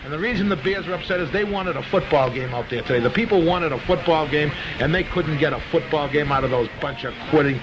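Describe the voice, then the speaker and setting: A man's voice: on the high side, quick pace, few pauses, muffled recording. A man hosting a casual sports call-in radio show, opinionated and emphatic, often repeating himself. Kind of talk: live sports talk radio, casual chat with callers. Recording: noisy vintage radio broadcast recording.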